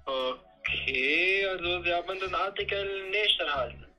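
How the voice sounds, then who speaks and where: A man with a bright, slightly high voice handles a customer call. He is polite and patient, in a helpful service style. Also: measured pace, faint music behind, poor audio.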